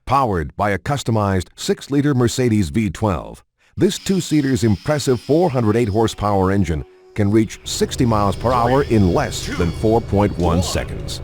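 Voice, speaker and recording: A man's voice: on the low side, sing-song, moderate pace, a little mellow, soft background music. A man reading scripted promotional documentary narration in a polished, emphatic announcer delivery.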